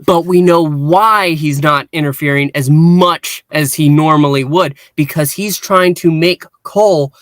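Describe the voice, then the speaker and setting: A man hosting a casual review discussion, speaking in a halting way with repeated false starts. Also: fairly high pitch, no room sound. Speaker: podcast host and reviewer.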